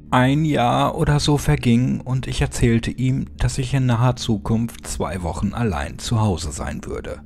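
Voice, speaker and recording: A man reading a scripted horror story as an audiobook narrator, measured and suspenseful, with dramatic pauses. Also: mid-range voice, vocal fry, a little mellow. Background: music.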